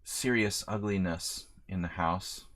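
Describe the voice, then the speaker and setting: A man's voice: low-pitched, a little slow, fairly bright tone. A man streaming a chess simul, chatty and self-deprecating, thinking aloud over his moves. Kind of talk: live-stream commentary.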